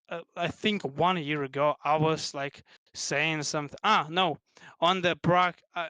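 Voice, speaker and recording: A man in his twenties with a Russian accent, chatting as an interview guest, speaking haltingly with many restarts. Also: high voice, sharp tone, clean tone, no room sound. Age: 20s. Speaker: guest, pro gamer. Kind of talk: casual interview chat.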